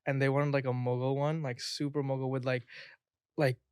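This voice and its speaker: A man's voice: medium pitch, normal speed, clear, resonant tone, no echo, clean studio sound. A man from Pakistan, a guest artist, talking casually and enthusiastically on a podcast, with many "like" fillers. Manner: relaxed, enthusiastic, frequent "like" fillers.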